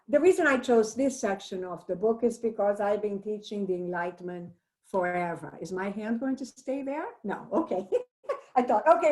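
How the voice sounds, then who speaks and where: A woman professor with a Brazilian accent talks through a text on a video call. She explains at length, often pausing on fillers and restarting her sentences. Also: a little high. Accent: Brazilian Portuguese. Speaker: professor leading a book discussion. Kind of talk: online book discussion lecture.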